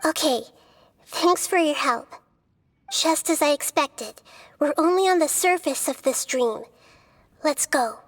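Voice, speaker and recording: A woman playing a small, wise, child-like goddess in a video game, gentle, measured and explanatory. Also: sits high, no echo. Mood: calm.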